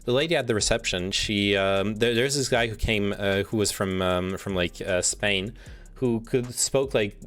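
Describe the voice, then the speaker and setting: A man's voice: on the low side, moderate pace, a bit bright. A man livestreaming in a casual, sweary way, rambling and often restarting his sentences as he reads out statements and reacts to them. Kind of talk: casual chat, reading quiz statements and reacting.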